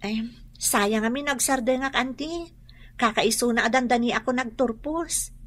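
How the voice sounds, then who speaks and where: A woman's voice: on the high side, normal speed, fairly bright tone. A woman with an Ilocano accent playing a polite, diligent young student niece in a radio drama. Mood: polite, earnest. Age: young adult.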